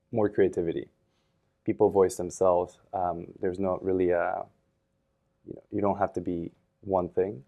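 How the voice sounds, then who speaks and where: Deep, mellow man, reflective guest. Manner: thoughtful, many fillers and restarts. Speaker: podcast guest. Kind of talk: casual interview.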